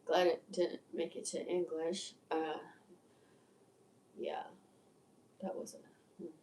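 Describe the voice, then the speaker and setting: Give me a low, dark-toned woman of sixty rambling and swearing freely, in a roomy, echoing space. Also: level tone, takes it slowly. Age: 60s. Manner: stammering restarts, profane, candid. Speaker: video creator. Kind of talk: rambling livestream monologue. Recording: echoey room, distant from mic.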